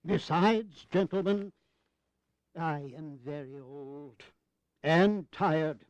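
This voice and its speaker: Genial old statesman, high voice, slow and witty, avuncular, history audio drama. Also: clear, resonant tone, no echo, dull audio. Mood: jovial, wry.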